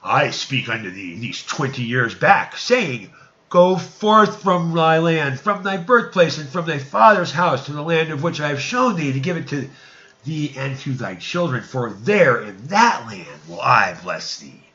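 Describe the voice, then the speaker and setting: Man reading as a solemn, forceful God of scripture. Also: fairly high pitch, animated, measured pace, muffled recording. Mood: commanding. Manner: archaic, declamatory phrasing. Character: God speaking commands and promises, solemn and commanding.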